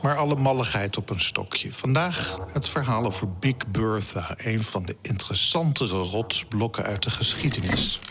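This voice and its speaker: A man's voice: fairly low pitch, normal speed, background noise. A man narrating a scripted science column in a wry, bookish manner. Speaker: astronomy podcast narrator.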